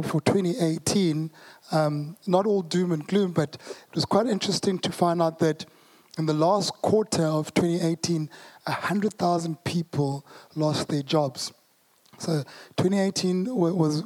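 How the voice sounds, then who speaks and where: Hoarse South African preacher, warm dark tone, relaxed conversational sermon. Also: mid-range voice. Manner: conversational, joking asides to the congregation. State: hoarse, losing voice.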